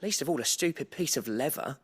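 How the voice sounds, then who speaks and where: An actor playing a friendly, cheeky working-class British sidekick in a video game, informal and eager. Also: male voice, medium pitch, expressive, brisk, husky. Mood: musing.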